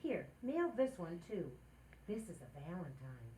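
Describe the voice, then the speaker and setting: A woman playing a scheming, excitable housewife with dry wit, wisecracking theatrically in an old-time radio sitcom. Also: on the low side, a little slow. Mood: wry.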